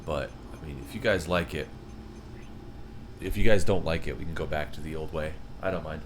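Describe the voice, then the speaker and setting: A man's voice: a bass voice, fairly even intonation, moderate pace, noisy background. A man in his thirties chatting casually with viewers on a live game stream and reading their messages aloud. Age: 30s. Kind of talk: casual live-stream chat while gaming.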